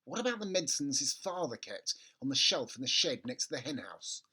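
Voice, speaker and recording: A man's voice: mid-range voice, big pitch swings, fairly quick, pushed and bright, breathy edge. A man around forty reading a children's story expressively, close on a mic in a living room. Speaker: storybook reader. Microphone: close studio mic with pop filter.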